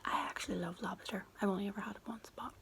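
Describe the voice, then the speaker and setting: A woman's voice: mid-range voice, steady tone, fast talker, vocal fry, a little hiss or hum. A woman from Ireland, whispering a children's book aloud for ASMR and lingering over repeated words close to the mic. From Northern Ireland. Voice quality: soft whisper. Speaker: ASMR creator. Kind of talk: whispered ASMR storybook reading.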